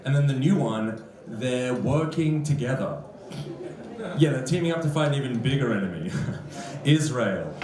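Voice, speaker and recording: A man's voice: medium pitch, animated, moderate pace. Male Australian stand-up, dry and conversational, live echoey room with audience. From Sydney, Australia. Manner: dry, conversational joking with the crowd. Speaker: stand-up comedian. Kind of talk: live stand-up comedy set. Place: on stage in a comedy club. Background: crowd laughter.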